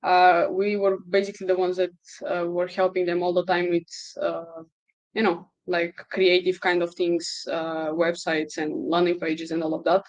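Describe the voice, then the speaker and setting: A woman from Portugal answering interview questions in a relaxed way, with some fillers. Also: on the low side, normal speed, no room sound. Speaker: guest, co-founder.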